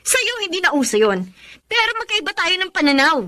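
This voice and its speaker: A woman's voice: very high voice, very animated, brisk, pushed and bright, exaggerated voice. An actress playing a principled, pleading young woman torn by love in a Filipino radio drama, with a Filipino accent. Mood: firm, troubled.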